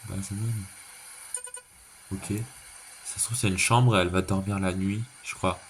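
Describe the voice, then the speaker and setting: Low-voiced man reacting casually, noisy recording. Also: fairly even intonation, unhurried. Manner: slangy, repetitive, thinking aloud. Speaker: reaction video creator. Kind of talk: casual live reaction commentary. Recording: clearly noisy background.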